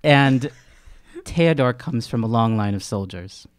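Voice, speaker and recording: A man's voice: mid-range voice, animated, measured pace. A man playing a character in a tabletop game, talking casually in and out of role.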